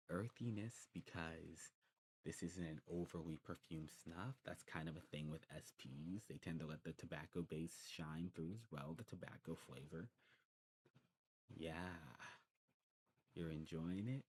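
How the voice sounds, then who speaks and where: Man playing a slow, low-voiced genteel old demon. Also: steady tone, a little mellow, slight background noise. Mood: courteous, attentive. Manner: genteel, unhurried, musing with hums and pauses. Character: courteous old male demon snuff shopkeeper. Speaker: ASMR roleplay shopkeeper. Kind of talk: ASMR roleplay, talking to the listener.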